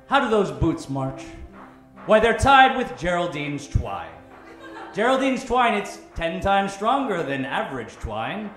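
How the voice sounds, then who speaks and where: Man as a high, swooping patriotic radio pitchman. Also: fairly bright tone, exaggerated voice. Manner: booming rhetorical questions, stirring. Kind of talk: 1940s radio advertisement parody. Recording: echoey stage, faint music behind.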